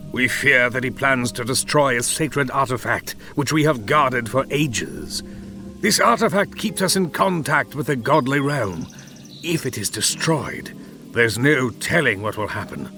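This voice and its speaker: Gravelly, grave sage. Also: male voice, mid-range voice, bright, forceful tone, heavily acted. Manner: solemn, urgent. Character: grave older male sage of a secret order. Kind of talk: scripted fantasy game dialogue.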